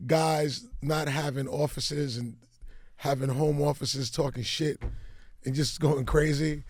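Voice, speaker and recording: Raspy-voiced man, animated in a dry studio. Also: a little high, takes it slowly, dry recording. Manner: animated storyteller, talks freely. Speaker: music executive, guest. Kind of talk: casual interview talk.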